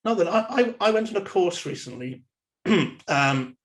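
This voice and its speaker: A Welsh man whose first language is Welsh, giving an online university lecture in a measured, thoughtful way with frequent ums and uhs, recorded on a computer microphone. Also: high-pitched, lively intonation. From Wales.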